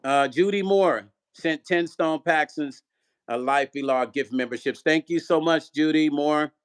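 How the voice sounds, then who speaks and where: A man's voice: fairly high pitch, unhurried, clean tone. A middle-aged man hosting a casual livestream, reading viewer comments aloud and urging people to tap the screen, in an upbeat, repetitive way. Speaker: livestream host.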